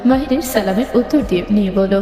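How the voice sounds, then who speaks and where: A woman's voice: medium pitch, quick pace. A woman reading scripted story narration between lines of dialogue. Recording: echoey reverberant hall, music underneath.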